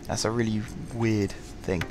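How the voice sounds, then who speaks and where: A man with a sore throat, slightly husky, casually commenting on a game through a headset, rambling and apologetic with many ums. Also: fairly low pitch, level tone, measured pace, darkish tone, a little hiss or hum. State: sore throat, fighting coughs. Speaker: gaming channel host. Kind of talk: casual let's-play commentary.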